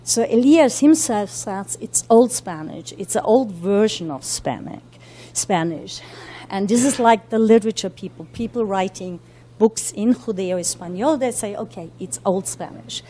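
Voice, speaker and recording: Fast-talking woman lecturing with many fillers. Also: mid-range voice, animated, dark tone. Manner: talks quickly with many fillers and self-corrections. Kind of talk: academic lecture. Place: university lecture room.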